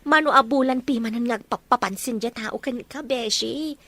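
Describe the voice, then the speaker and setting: A woman playing a lively young matchmaking best friend in an Ilocano romance drama, teasing and coaxing. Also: on the high side, normal speed, no echo.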